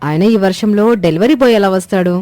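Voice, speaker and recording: A woman's voice: mid-range voice, quick pace, dark tone, dry recording, close to an everyday voice. A woman voicing a polite, gadget-proud young city daughter-in-law in a cartoon story, mixing English words into her Telugu. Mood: worried.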